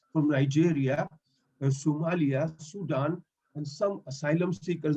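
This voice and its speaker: A man's voice: on the high side, darkish tone, lo-fi sound. A man in his fifties whose first language is Swahili, speaking earnestly in non-native English as a mental health link worker in an online meeting. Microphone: video call.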